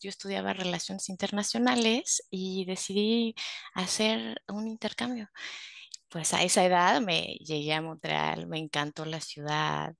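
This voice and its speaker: Gravelly Mexican woman, dry close sound. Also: lowish voice, a bit bright, no room sound. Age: 40s. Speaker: migrant support worker. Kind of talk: casual personal storytelling on a video call. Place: living room indoors. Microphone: headset on a video call.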